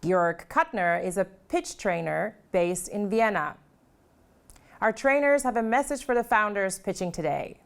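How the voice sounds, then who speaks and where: A woman's voice: mid-range voice, expressive, unhurried, vocal fry, smooth. A woman presenting an event as host in a scripted style.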